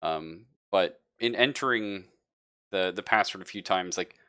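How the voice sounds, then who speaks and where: This is a man with a very low but bright, forward voice. He runs a tabletop roleplaying game as game master. He improvises his narration casually, often halting to think aloud. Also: clear, resonant tone.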